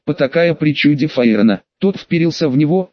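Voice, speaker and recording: Dull-sounding, higher-voiced male audiobook narrator. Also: animated, brisk, no room sound. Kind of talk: scripted narration of a science fiction novel.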